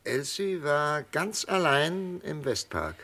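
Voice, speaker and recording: An actor in a German radio crime play, playing a stern interrogator who questions a murder suspect with emphasis. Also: male voice, on the high side, animated, slow pace, sharp tone, full character voice. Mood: stern, probing. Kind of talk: mid-century German radio crime drama acting.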